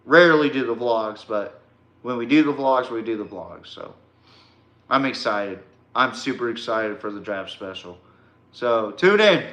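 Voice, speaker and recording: Echoey, bright-voiced man, slow sports talk. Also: medium pitch, lively intonation. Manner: informal, slangy, folksy. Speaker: podcast host. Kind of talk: casual sports talk to viewers. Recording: roomy, echoey sound.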